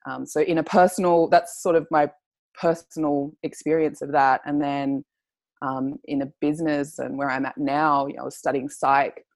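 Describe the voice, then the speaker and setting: A young Australian woman guest, speaking earnestly and searching for words, with many pauses and ums. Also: low voice, level tone, darkish tone, no room sound. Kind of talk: reflective personal storytelling.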